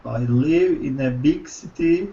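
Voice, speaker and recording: Very slow, careful male English learner. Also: fairly high pitch, warm tone, dull audio. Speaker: language student.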